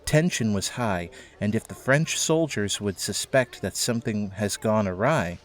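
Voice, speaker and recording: A man's voice: on the low side, animated, measured pace. A man narrating a scripted audio drama as its storyteller. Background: background music.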